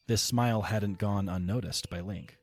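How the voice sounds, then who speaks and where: A man reading scripted story narration as the narrator of an audio drama, in a measured storytelling manner over music. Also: on the low side, vocal fry, darkish tone.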